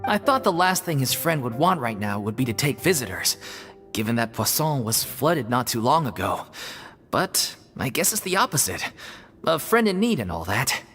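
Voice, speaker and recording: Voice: mid-range voice, very animated, moderate pace, fairly bright tone, in a large hall, soft background music. A voice actor playing an easygoing young theater troupe member explaining things, in game dialogue.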